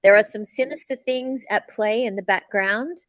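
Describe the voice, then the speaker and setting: A woman's voice: medium pitch, normal speed, a bit bright, no echo. A woman co-hosting a casual podcast, explaining things at length with enthusiasm. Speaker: podcast co-host, voice actor.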